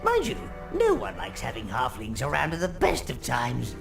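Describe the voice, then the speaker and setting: A sly, fawning minion advisor, swinging his pitch wildly as he drops sardonic asides to his master. Game music plays behind him. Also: wildly swooping pitch, moderate pace, creaky, heavily acted. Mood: advising.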